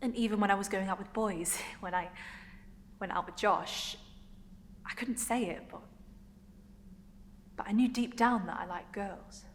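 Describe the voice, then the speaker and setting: A woman performing a passionate young woman in a dramatic stage monologue, emotional and emphatic, pausing for effect. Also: medium pitch, close to an everyday voice. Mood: confessional, aching. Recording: echoey hall, noticeable room noise.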